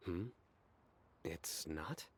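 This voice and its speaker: Stern young game general, low and very unhurried, solemn deadpan delivery. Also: male voice, a little hiss or hum. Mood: deadpan earnest. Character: stern young male general, deadpan lover of bad puns. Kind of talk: video game voice acting.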